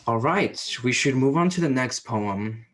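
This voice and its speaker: Guy hosting a book talk, medium pitched voice, moderating and asking questions. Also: animated, unhurried, a bit bright, rough recording. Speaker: host, moderator.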